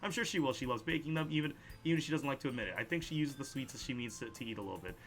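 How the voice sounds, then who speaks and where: A man hosting a video game playthrough, reading dialogue aloud in an animated way, stumbling over words and adding his own side comments. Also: mid-range voice, racing, soft background music. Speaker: let's play host.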